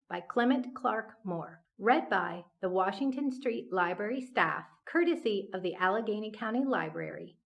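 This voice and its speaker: A woman's voice: medium pitch, lo-fi sound. A woman reading a scripted introduction and verse aloud as a library announcer. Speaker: announcer and poem reader, library staff.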